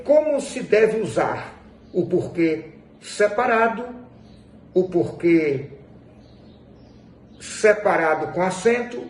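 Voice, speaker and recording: A man's voice: high voice, a little mellow. A Brazilian man giving an instructional grammar lesson in a didactic, emphatic way, repeating phrases for stress. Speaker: Portuguese grammar teacher. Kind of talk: instructional lesson explained to viewers. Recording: echoey room with faint background noise.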